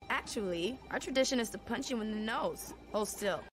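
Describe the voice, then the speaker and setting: A woman's voice: mid-range voice, expressive, moderate pace, soft background music, lightly acted. A woman voicing a feisty, distrustful human girl in a cartoon film, blunt and sassy. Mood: annoyed, guarded.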